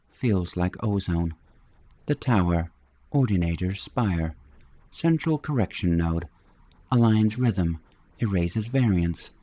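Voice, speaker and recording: A man reads science fiction in a low, dark voice. His phrasing is terse and clipped, and the recording is close and dry. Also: no room sound. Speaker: audiobook reader. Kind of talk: scripted dramatic reading of a sci-fi glossary.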